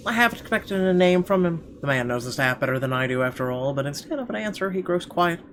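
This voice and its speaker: A man's voice: a little high, lively intonation, fast, fairly bright tone, slight background noise. A man reading first-person narration from a visual novel aloud in a dramatic storytelling style.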